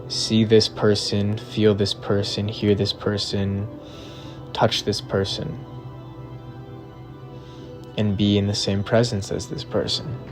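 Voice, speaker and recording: A man narrating a guided meditation in a measured, deliberate way, in short phrases separated by long pauses. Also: lowish voice. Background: background music.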